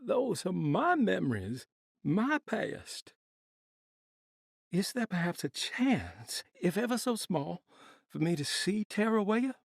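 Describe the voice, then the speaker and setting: An actor playing a tormented, melancholy man reciting a poetic game monologue in a theatrical, anguished way, with a husky, creaky voice. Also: sits high, big pitch swings, slow, no room sound, clean studio sound, exaggerated voice. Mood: mournful pleading. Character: haunted, tormented, melancholy man reciting his written laments.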